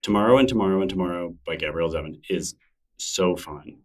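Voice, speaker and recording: Deep middle-aged guy rambling thoughtfully in an interview, lots of ums, sounds a bit far from the mic. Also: a little fast. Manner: thoughtful, rambling with many fillers and restarts. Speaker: interview guest, writer. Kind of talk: casual interview conversation.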